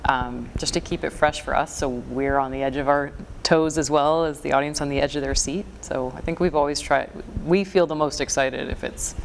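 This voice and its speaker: A woman in her forties from the Toronto area, a folk singer with a low voice, chatting in an interview with small laughs. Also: fairly even intonation, moderate pace, vocal fry, few pauses, a little hiss or hum, poor audio. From Toronto area, Canada. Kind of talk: casual interview chat.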